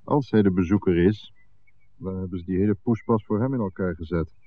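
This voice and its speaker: A man's voice: sits low, brisk, lightly acted. An actor playing a wary, menacing male crook who leads his mates, talking bluntly in a Dutch radio drama on an old narrow-band recording. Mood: wary, brooding.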